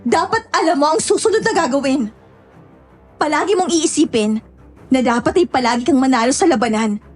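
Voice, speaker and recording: A woman's voice: sits high, animated, brisk, soft background music. A woman voicing a fierce young village woman wielding a sickle, defiant and pleading in a horror story. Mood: coaxing.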